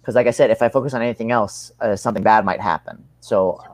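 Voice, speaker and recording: A man's voice: fairly low pitch, fast, no room sound. A young man giving casual interview answers as a combat sports athlete, earnest and rambling, often rephrasing himself.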